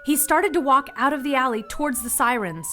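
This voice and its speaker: A woman's voice: high-pitched, a little fast, pushed and bright, a little hiss or hum. An actress playing a plucky, anxious 1940s young woman who narrates her own adventure in an audio drama, earnest and dramatic. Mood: anxious narration.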